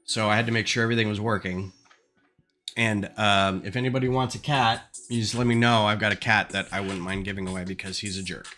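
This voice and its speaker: A man's voice: on the low side, fairly even intonation, fast talker, sharp tone. A man with a stuffed-up nose chatting casually on a livestream at his home desk, thinking aloud with lots of ums. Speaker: LEGO designer streaming. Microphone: desk microphone.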